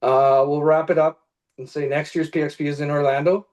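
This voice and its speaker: Man, slightly high voice, relaxed, hesitant storyteller, video call. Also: a little fast. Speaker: team member on a call.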